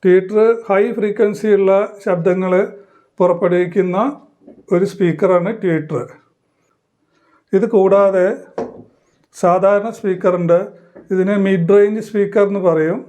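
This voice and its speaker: High-pitched, monotone man teaching. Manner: halting, explains in short phrases. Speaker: electronics tutorial presenter. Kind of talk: hands-on demonstration, explanatory teaching.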